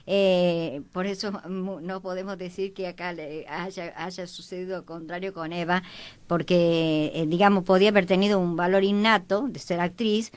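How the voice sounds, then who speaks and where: A woman's voice: fairly low pitch, steady tone, normal speed, clean tone. A woman talking in a rambling, repetitive way in a panel discussion. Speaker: panelist on a history programme.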